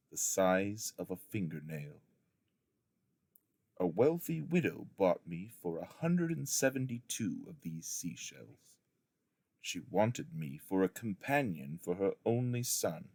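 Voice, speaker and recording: Deep-voiced man reading a story, unhurried. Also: darkish tone, husky, no echo. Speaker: audiobook reader, schoolteacher reading aloud. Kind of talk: scripted storybook narration of a memoir.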